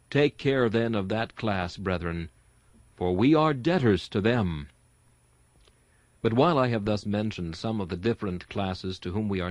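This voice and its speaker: Man, mid-pitched voice, sermon reader, solemn and earnest, rhetorical emphasis, dry recording. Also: no echo. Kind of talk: read-aloud old-fashioned sermon.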